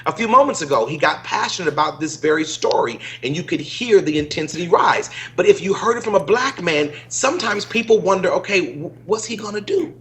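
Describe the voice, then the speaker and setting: A middle-aged man co-hosting a political panel discussion, speaking in a measured, earnest and emphatic way. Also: high-pitched, animated, fairly bright tone. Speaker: co-host.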